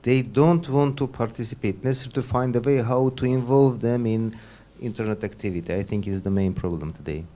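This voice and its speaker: A man's voice: mid-range voice, fairly quick, few pauses, warm tone. A man speaking as a panelist, earnest, with broken phrasing. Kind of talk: panel discussion remarks.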